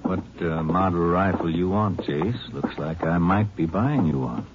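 An actor playing a folksy, expressive small-town Texas sheriff and sidekick in a 1950s radio drama. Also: male voice, deep voice, unhurried. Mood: curious. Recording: dull, noisy vintage radio recording.

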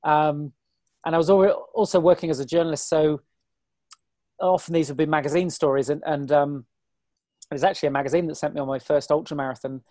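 A man in his forties, a guest on an interview, talking in a chatty, self-deprecating, rambling way with a hoarse, weary voice. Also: a little high, fairly even intonation, fairly quick, a little mellow, dry recording. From Britain. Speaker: guest, ultrarunner. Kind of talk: casual interview chat.

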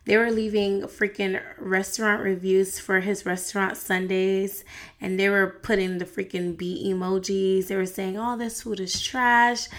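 Chatty frank woman vlogger. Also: medium pitch. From United States. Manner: chatty, frank, peppered with fillers and slang. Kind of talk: casual celebrity gossip chat.

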